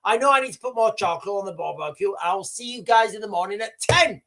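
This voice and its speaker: A man's voice: high voice, lively intonation, quick pace, bright, forceful tone. A man, hosting a casual live stream in a rambling way, repeating himself and calling the viewers guys. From United Kingdom. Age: 40s. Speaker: livestream host.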